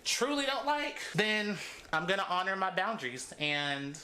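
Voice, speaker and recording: A woman's voice: mid-range voice, very animated, unhurried, pushed and bright, reverberant, low-quality recording. A woman in her thirties chatting casually as she braids her hair for her vlog, laughing often between thoughts. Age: 30s. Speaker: beauty vlogger.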